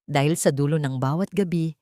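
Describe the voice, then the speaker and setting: A woman narrating a scripted dramatic audiobook in a solemn, sentimental and measured way. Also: sits low, dark tone, no room sound. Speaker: storyteller, narrator.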